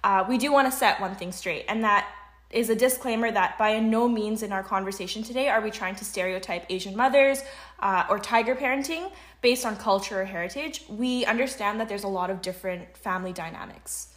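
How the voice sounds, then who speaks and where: A woman's voice: medium pitch, brisk, fairly bright tone. A woman in her early twenties with a Canadian accent, co-hosting a casual conversational podcast in an articulate, discursive way. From Canada, grew up in Vancouver. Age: early 20s. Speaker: podcast co-host.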